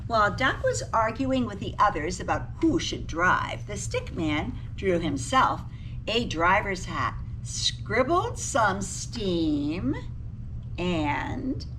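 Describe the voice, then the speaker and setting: Woman, slow expressive children's read-aloud, lively swooping delivery, slightly distant mic, faint noise. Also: medium pitch. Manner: expressive, pausing to ask young listeners questions. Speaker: teacher reading a picture book aloud.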